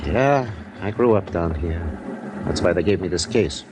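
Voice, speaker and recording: A jaded cop in a vintage radio crime play. His voice sits fairly low, blunt and resigned in tone, on an old recording with clear background noise. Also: animated, moderate pace. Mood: bitter, weary. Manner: world-weary, blunt, matter-of-fact. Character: weary, cynical male Los Angeles police lieutenant. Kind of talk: 1950s radio detective drama acting.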